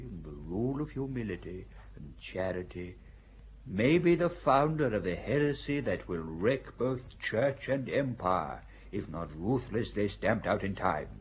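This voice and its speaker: An actor playing a stern elderly male inquisitor who lectures a court in a solemn, measured, sermon-like way. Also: on the low side, slight background noise. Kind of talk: audio drama acting. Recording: dull lo-fi recording.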